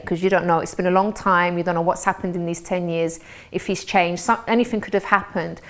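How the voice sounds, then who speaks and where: A woman hosting a TV talk show, asking questions and giving advice in a gentle, hesitant way. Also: lowish voice. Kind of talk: casual interview chat.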